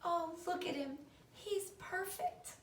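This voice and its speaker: A woman's voice: extremely high pitch, slow pace, a little hiss or hum, pitched above the performer's own voice. A woman voicing a passionate, indignant eight-year-old farm girl in a storybook read-aloud. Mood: hushed awe. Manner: pleading, protesting. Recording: roomy echo, distant mic.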